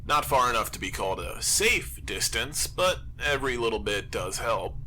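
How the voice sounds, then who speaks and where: An actor playing an anxious, overthinking zombie apocalypse survivor who broadcasts alone, rambling and self-deprecating in a halting confessional radio monologue. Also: male voice, medium pitch, big pitch swings, sharp tone, a little hiss or hum. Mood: weary, resigned.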